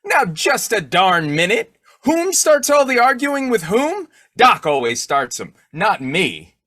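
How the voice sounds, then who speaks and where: A man playing a blustery, boyish, quick-tempered bumbling husband in old-time radio comedy, with a folksy, slangy, argumentative way of talking. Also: high-pitched, very animated, sharp tone, no echo, studio quality, heavily acted. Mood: indignant.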